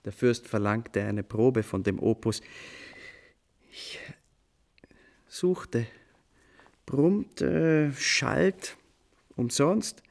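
An impulsive composer with a playful temper, in a German radio drama. He speaks at a middling pitch. His storytelling is animated and ornate, full of old-fashioned turns of phrase. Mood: lively, wry. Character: playful, impulsive male composer recounting his nighttime inspiration. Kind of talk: German radio play acting.

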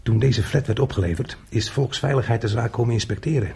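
Very raspy, earthy working man. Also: medium pitch, fairly quick, a little mellow. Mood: wry storytelling. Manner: crude, colloquial, emphatic. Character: earthy, talkative, hot-tempered working man squatting a cellar. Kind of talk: naturalistic Dutch radio play acting.

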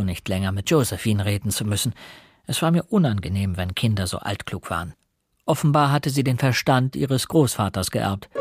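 An actor narrating a German radio murder mystery in the first person, in a measured, reflective way. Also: male voice, medium pitch, close to an everyday voice. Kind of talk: scripted radio drama narration. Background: music.

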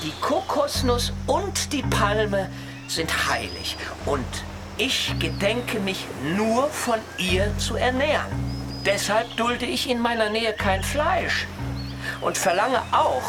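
An actress playing a firm, declamatory speaker laying down solemn rules in a radio drama, with deliberate emphasis. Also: female voice, on the high side, sing-song, music in the background. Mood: stern, solemn.

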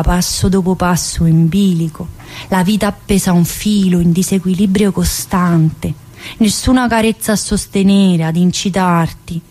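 Actress doing a chatty woman prisoner in a one woman play, bossy n needy, teasing, normal pitch. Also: darkish tone, natural voice. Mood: tender, wistful. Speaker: actor in a solo stage monologue. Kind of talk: theatrical monologue.

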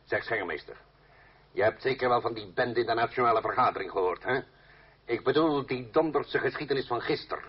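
An actor as a loud, coarse revolutionary firebrand. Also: male voice, mid-range voice, brisk, breathy edge, natural voice. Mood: scornful. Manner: bellowing, swearing, mocking. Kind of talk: radio drama acting. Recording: dull noisy old radio recording.

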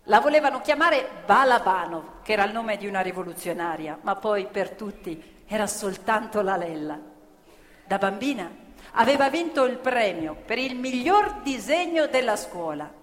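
A woman performing a theatrical narrative monologue in a dramatic, deliberate manner. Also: mid-range voice, very animated. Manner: dramatic, deliberate, with acted-out dialogue and repetition. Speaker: stage actress and storyteller. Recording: reverberant hall with live music.

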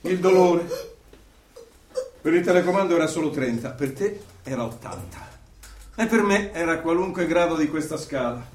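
An actor plays a male interrogator for a totalitarian regime in a radio play. He lectures with cold, menacing authority and theatrical emphasis, in a slightly high voice. The sound is roomy, set back from the microphone, with some background noise. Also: lively intonation, normal speed, far from the mic, close to an everyday voice. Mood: lecturing, menacing. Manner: declamatory, lecturing, menacing, with sudden loud bursts.

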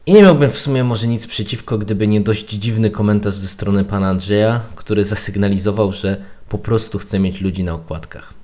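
Low-pitched, formal man. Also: fairly even intonation, fairly quick, hardly any pauses, warm tone. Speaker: podcast guest, blogger. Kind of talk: prepared opinion commentary.

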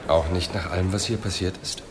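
An actor playing a calm, probing police inspector in a radio drama, measured and insistent. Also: male voice, low voice, close to an everyday voice. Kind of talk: German radio drama acting. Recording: faint background noise.

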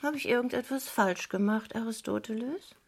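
An actress playing a capricious, vain and cunning French wife in a radio crime play, teasing and persuasive. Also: female voice, fairly high pitch, moderate pace, soft background music, lightly acted. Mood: anxious, questioning.

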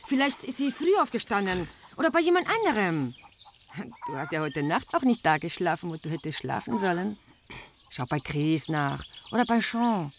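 Radio drama: laid-back female commune member, reassuring, lively swings in pitch, slight noise behind. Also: moderate pace. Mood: casual, dismissive. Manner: casual, reassuring.

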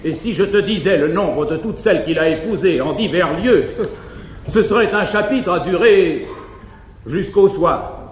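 An actor playing a garrulous, fearful but frank male valet, delivering quick, emphatic comic declamation on a 1950s live stage. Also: high-pitched, expressive. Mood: mocking, confiding. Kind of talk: classical verse-era stage acting. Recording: echoey 1950s live theatre recording, reverberant hall.

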